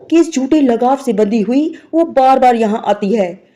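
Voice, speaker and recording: A woman's voice: on the high side, expressive, measured pace, warm tone. A woman narrating a scripted, sentimental moral story as a storyteller. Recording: music bed behind the voice. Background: background music.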